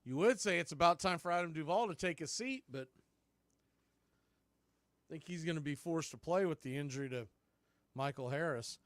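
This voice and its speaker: High-voiced man calling baseball on radio. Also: moderate pace, pauses often, fairly bright tone, no room sound. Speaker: baseball play-by-play announcer. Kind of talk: radio baseball play-by-play commentary.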